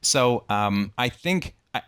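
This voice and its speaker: A man's voice: mid-range voice, expressive, slow pace, fairly bright tone, clear, resonant tone. A man thinking aloud in an informal debate, explaining through hypothetical examples as he goes. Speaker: book club discussion host.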